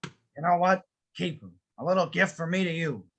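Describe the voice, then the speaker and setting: A man playing a gruff but soft-hearted old widower, gravelly and grumbling, in a script read-through. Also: on the high side, expressive, moderate pace. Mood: gently resigned. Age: older. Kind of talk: casual online table read of an animated film script.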